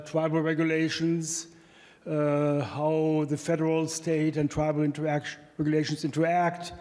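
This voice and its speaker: A man's voice: on the high side, a little mellow. German guy, middle-aged, handheld mic at a conference, lots of uh and restarts, reverby hall. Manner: halting, frequent restarts and fillers. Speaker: economics professor presenting research. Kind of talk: conference talk. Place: large conference hall, at a podium. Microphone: handheld microphone.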